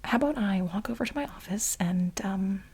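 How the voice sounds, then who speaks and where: A woman playing an anxious, needy lab researcher in a soft-spoken ASMR role play, breathy and often slipping into a whisper, halting and rambling. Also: mid-range voice, expressive. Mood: giddy, eager. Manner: halting, rambling, emotional, repeats herself.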